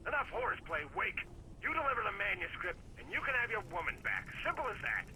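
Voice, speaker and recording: A man's voice: high-pitched, huge pitch swings, quick pace, gravelly, bright, forceful tone, a little hiss or hum, exaggerated voice, poor audio. An actor playing a menacing kidnapper in a video game, taunting and bullying as he speaks.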